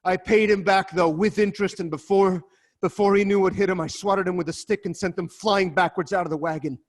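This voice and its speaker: Tormented king, hushed dread then raw outbursts, slightly high voice. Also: steady tone, brisk. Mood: grim, confessional. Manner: swings from hushed dread to loud anguish. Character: anguished king confessing killing, male adult. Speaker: actor in dramatic reading. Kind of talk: dramatic reading over video call. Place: home, video call.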